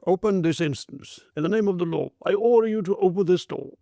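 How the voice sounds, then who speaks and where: A gruff police constable barks orders at a locked door in a storybook reading, played by the narrator. His tone is mellow and low in brightness. The recording is dry and close. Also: fast talker, no echo, lightly acted. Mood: commanding. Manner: commanding. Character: stern gruff male police constable, later kindly.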